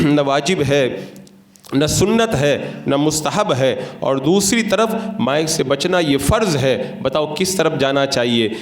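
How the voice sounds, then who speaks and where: Echoey higher-pitched Indian male preacher. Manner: didactic, argumentative, rhetorical questions. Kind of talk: Friday sermon in a mosque. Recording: echoey, reverberant room sound.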